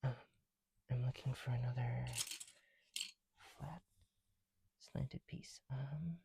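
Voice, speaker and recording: A soft-spoken man chatting casually in an ASMR style, often dropping into whispers, with a creaky voice and a rambling, halting delivery, close to the mic. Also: mid-range voice, steady tone, background noise. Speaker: ASMR video creator. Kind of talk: casual ASMR chat while building bricks.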